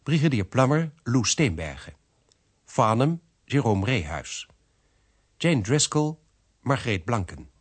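Husky, lively male radio announcer. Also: medium pitch, slow pace. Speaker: announcer reading credits. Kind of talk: formal scripted radio announcement.

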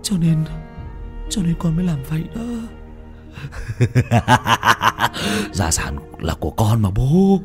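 A deranged, money-mad young son, ranting in a shrill, swooping voice and laughing between threats. At other times he drops into a low, menacing snarl. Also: huge pitch swings, normal speed, mellow, soft background music. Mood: manic. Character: unhinged greedy young son, murderous.

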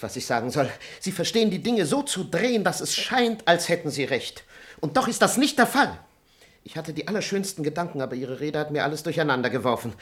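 An actor playing a timid, moralizing servant in a classical radio comedy, deferential, fussy and theatrical. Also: male voice, fairly high pitch, fairly bright tone. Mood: flustered. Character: timid, moralizing, long-suffering male servant.

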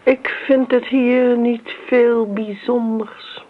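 An actress playing a bitter grown daughter in a Dutch radio play, dry, sarcastic and coolly needling. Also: female voice, fairly high pitch, fairly even intonation, slow pace. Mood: cutting. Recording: noisy, narrow-band old radio recording.

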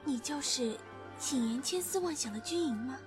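A woman's voice: high voice, animated, normal speed. A woman playing a bold, girlish dragon princess, pert and teasing, in a Chinese fantasy radio drama. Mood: appraising. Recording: music under the amateur drama voice. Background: background music.

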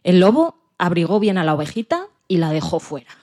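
A woman's voice: on the low side, sing-song, fairly quick, warm tone. A woman with a Spain accent narrating a dramatized children's story expressively. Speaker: podcast presenter and story narrator.

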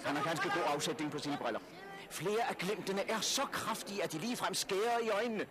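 An actor playing an enthusiastic radio reporter giving declamatory live commentary in a 1960s radio drama. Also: male voice, sits high, over a noisy crowd, natural voice. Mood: awed, excited. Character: excited male radio reporter at an outdoor spectacle.

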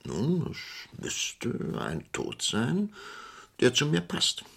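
An actor playing a rich, superstitious shipowner in a radio crime drama, imperious and theatrical. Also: male voice, medium pitch, huge pitch swings, very slow, vocal fry, a bit bright, husky, heavily acted. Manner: pompous, dramatic, swinging between outbursts and muttering.

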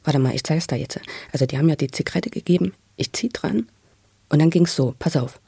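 A man, probably middle-aged, tells his own story in a husky voice, halting and repeating words with intensity. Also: a little high, warm tone. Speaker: interviewee telling his life story. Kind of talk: spontaneous, emotional personal storytelling.

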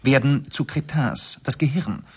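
Husky, creaky-voiced urbane gentleman detective. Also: medium pitch, lively intonation, full character voice. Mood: analytical, composed. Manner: deliberate, analytical, urbane. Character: shrewd English gentleman detective, methodically explaining his deductions. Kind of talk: radio play acting, 1970s.

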